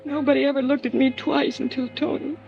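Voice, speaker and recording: An actress playing a bereaved, bitter young wife in 1950s American radio drama, halting and tearful as she confesses. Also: high voice, level tone, gravelly, soft background music. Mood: hopeless despair. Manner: halting, repeating herself in anguish. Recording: dull old radio recording.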